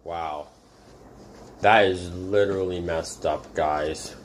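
A man's voice: low-pitched, steady tone, slow, very noisy. Rambling man saying "guys" nonstop. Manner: rambling, says "guys" constantly. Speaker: reaction channel host. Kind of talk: casual reaction commentary.

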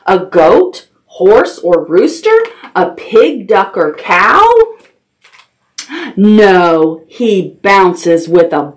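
A middle-aged woman hosting a children's storytime, reading picture books aloud and adding simple, expressive questions and asides for toddlers. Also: medium pitch, very slow. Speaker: children's library storytime host. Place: small decorated indoor room with puppets.

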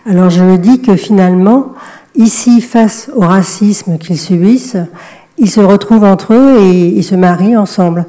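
A woman's voice: medium pitch, measured pace, warm tone. Assertive woman commenting from the audience. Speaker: audience member, geneticist.

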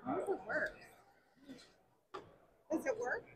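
A woman's voice: sits high, lively intonation, takes it slowly, background noise. A woman making casual remarks as a shopping companion. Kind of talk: casual chat. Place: large indoor swap meet hall.

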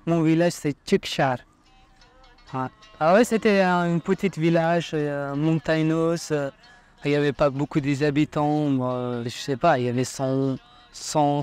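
A man from Afghanistan speaking slow, halting in a documentary interview. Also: fairly high pitch, fairly even intonation, a little mellow, clean tone, faint music behind. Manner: slow, halting non-native speech.

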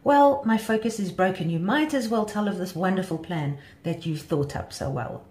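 A woman's voice: fairly low pitch, moderate pace, darkish tone, reverberant, natural voice. A woman voicing a vain, bossy young female butterfly in a children's story, haughty, scornful and theatrical. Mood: irritated.

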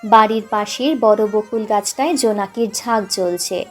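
A woman's voice: mid-range voice, moderate pace. A woman narrating a literary story expressively, audiobook style, with music underneath.

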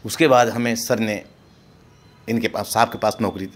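A man's voice: lowish voice, fairly even intonation, moderate pace. A middle-aged man who worked for many years as staff, speaking earnestly and gratefully about his employer. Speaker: longtime staff member.

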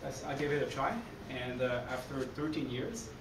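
A man in his forties from Quebec City with a Québécois French accent, giving an energetic, chatty conference talk to coaches and often checking in with "okay?". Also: mid-range voice, moderate pace, distant mic. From Quebec City, Canada. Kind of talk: conference presentation. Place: dark conference hall, speaking in front of a screen. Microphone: room recording from a far camera. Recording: echoey hall, heard from afar, noisy.

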